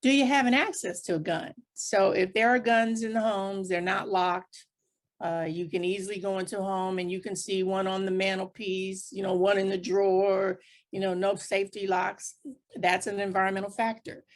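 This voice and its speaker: A woman's voice: medium pitch, flat delivery, normal speed. Woman explaining points in a panel discussion.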